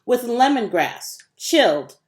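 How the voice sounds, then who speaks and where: A woman's voice: on the high side, sing-song, slow, darkish tone. A woman voicing a shy, curious young girl in a storybook, with a modest, understated manner. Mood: curious, matter-of-fact.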